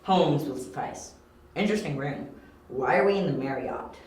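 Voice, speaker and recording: Young detective, male actor: analytical, cocky, dryly clipped, fairly high voice, amateur audio drama, distant from mic. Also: animated, normal speed, natural voice. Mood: dry, defiant. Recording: very echoey, distant, with faint hiss.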